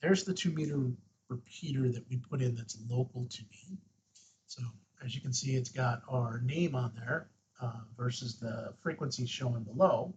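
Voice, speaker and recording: A man with a husky, rough-edged voice presenting a casual step-by-step tutorial, informal and thinking aloud as he goes. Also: medium pitch, normal speed, highs cut off. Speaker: host, ham radio tutorial presenter.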